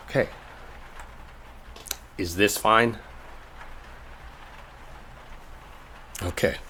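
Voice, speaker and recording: Guy playing an exasperated young coworker in a roleplay audio, lowish voice, really animated, sweary and sarcastic. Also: a little hiss or hum, exaggerated voice. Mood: impatient, offhand. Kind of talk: intimate roleplay audio acting, one-sided dialogue.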